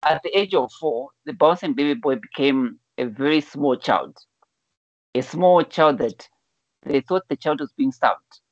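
A man's voice: on the high side, lively intonation, a little slow, dry recording. A man in his forties from Zambia, a life coach telling his life story in an interview over a video call, earnestly and with many restarts. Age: 40s. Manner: earnest storyteller, repeats words when starting a thought. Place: indoor room at home. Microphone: video call, wired earphones. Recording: dull lo-fi video call audio.

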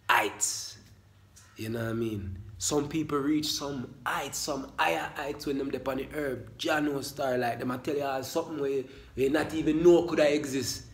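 A man in his thirties with a Jamaican accent, talking animatedly to a phone camera in a small room in a spiritual vlog, using Rasta speech. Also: medium pitch. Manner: animated, gestures a lot, Rasta speech patterns. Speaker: channel host. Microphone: phone camera at close range.

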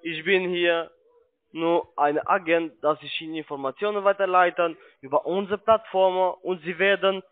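Guy doing pushy phone sales, kinda high voice, broken grammar, thin phone line. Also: fairly even intonation. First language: not German. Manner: halting, insistent, broken grammar. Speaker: call centre sales agent. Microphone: telephone. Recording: tinny narrowband phone line.

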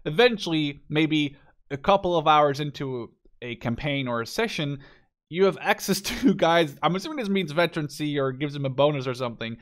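A man's voice: a little high, a bit bright. A young man giving casual, informal let's play commentary on a game. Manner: informal, sweary. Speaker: gaming video creator.

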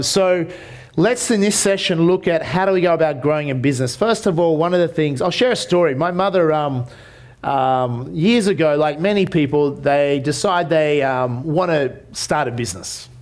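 An Australian man in his forties gives a business seminar from a lectern in a hotel conference room. He talks in a loose, conversational way, full of fillers and restarts. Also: medium pitch. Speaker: seminar presenter.

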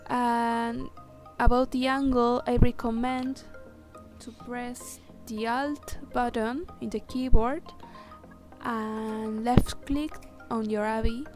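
A woman with a Spanish accent, whose first language is Spanish, talking through a software tutorial step by step with hesitant fillers. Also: fairly high pitch, fairly even intonation. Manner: slow, careful, step-by-step instructions with hesitant fillers. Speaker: tutorial maker. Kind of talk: screen-recorded software tutorial. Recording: voice-over with music playing underneath.

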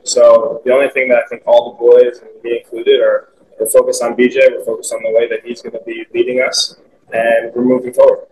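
Young man with a flat, even voice. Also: medium pitch, fairly quick, a little hiss or hum, poor audio. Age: young adult. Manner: upbeat, filler-heavy, candid. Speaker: soccer player. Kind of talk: post-match press conference answers. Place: team media room, indoors.

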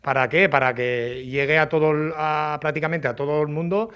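A man with a southeastern Spanish accent from Alicante explains informally and haltingly, repeating words and adding tag questions, slightly muffled by a face mask. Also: fairly high pitch, fairly even intonation, fairly bright tone, smooth. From Agost, Alicante province, Spain. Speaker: artisan potter, interviewee. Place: indoors in old pottery workshop and brick kiln. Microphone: handheld reporter's microphone.

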